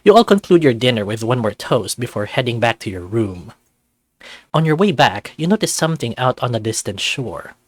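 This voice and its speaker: A man narrates a visual novel with a lively, mobile melody. His voice sits mid-range and very dry, with faint music underneath. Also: mid-range voice, normal speed, no room sound. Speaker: narrator and voice actor.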